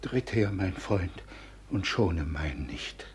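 Slow, frail, grieving old nobleman. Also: low-pitched, lively intonation, a little hiss or hum, heavily acted. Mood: anguished. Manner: feeble, halting, lamenting. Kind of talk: classic German radio drama acting.

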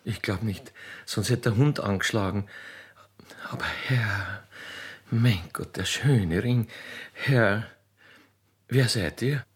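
A man playing a naive, excitable young Austrian country girl in a radio play, stammering and repeating words in wonder. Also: on the low side, takes it slowly, pauses often, slightly rough, heavily acted. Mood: curious, awed.

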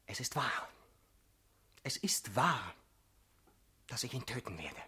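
Husky, intense young male militant. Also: medium pitch, sing-song, takes it slowly, sharp tone, exaggerated voice. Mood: urgent, insistent. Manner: earnest, brooding, easily stung. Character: intense, defensive young male party militant, insecure would-be assassin. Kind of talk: German radio play acting.

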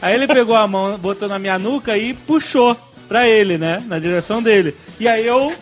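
A man's voice: high voice, a little fast, soft background music. A man hosting a podcast, telling stories animatedly with laughter in casual banter. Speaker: podcast host.

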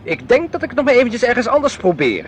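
An actor playing a grumbling, old-fashioned middle-aged husband in a radio drama, with a complaining, sardonic, dismissive manner. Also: male voice, extremely high pitch, very animated, quick pace, a little hiss or hum. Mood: exasperated.

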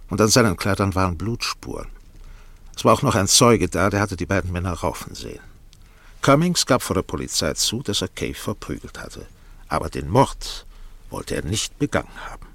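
An actor playing a worldly, self-assured defence barrister who talks in a dry, patronising, measured way in a German radio drama. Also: male voice, low voice, vocal fry, fairly bright tone, no room sound, exaggerated voice.

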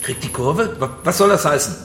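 An actor playing an arrogant banker held captive, alarmed and indignant, in a radio crime drama. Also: male voice, fairly high pitch, expressive, normal speed, fairly bright tone, a little hiss or hum. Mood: incredulous. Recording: echoing room with music behind.

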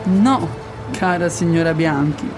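A woman playing a calm police commissioner who questions witnesses in a scripted lesson dialogue. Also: sits low, measured pace, over music. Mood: formal.

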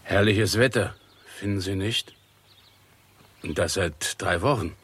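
An actor playing an amiable, deferential gardener in a 1960s German radio play, talking in an unhurried, folksy way. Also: male voice, low voice, fairly bright tone, breathy edge, heavily acted. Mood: amiable, marvelling. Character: amiable, plain-spoken gardener proud of his tomatoes.

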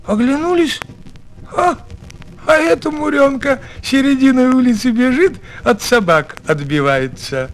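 A man's voice: extremely high pitch, animated, a little slow, low-quality recording. A man narrating a folk tale expressively, in a folksy, theatrical storyteller's way.

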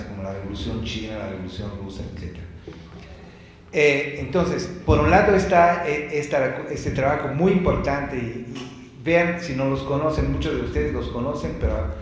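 A man from Italy with an Italian accent giving a public talk in Spanish, often restarting and repeating words. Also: medium pitch. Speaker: co-speaker at a talk. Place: library event room. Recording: very echoey room, faint background noise.

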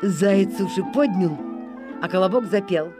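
A narrator telling a folk tale in expressive storybook style. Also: mid-range voice, a little slow. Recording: music playing behind.

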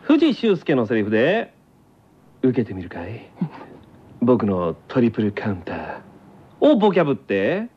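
A man's voice: mid-range voice, huge pitch swings, moderate pace, pauses often, slight background noise. A man with a Kansai accent hosting a radio show in casual comic banter, blunt and teasing. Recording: muffled lo-fi radio recording.

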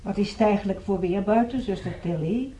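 A woman's voice: medium pitch, fairly quick, dark tone, a little hiss or hum, close to an everyday voice, low-quality recording. Actress as chatty, cheerful woman patient. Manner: chatty, musing small talk. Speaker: hospital patient. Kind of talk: naturalistic radio drama acting.

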